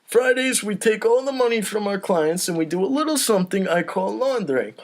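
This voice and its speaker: A man's voice: high-pitched, expressive, brisk, voice pushed up. A man voicing a blustering mafia boss with emphatic, theatrical gangster patter in a comedy script read. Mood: smug, menacing. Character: mafia Don, blustering boss with cotton-stuffed mouth.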